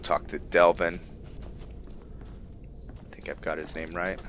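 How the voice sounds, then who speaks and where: A man's voice: deep voice, level tone, slow pace, fairly bright tone. A man giving casual, improvised game commentary, musing aloud to himself in a tentative way. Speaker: gaming video host. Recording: muffled, with clear background noise.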